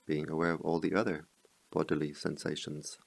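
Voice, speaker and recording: Very deep, gravelly man guiding meditation. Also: level tone, no echo. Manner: slow, measured phrases with pauses. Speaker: meditation teacher. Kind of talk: guided meditation.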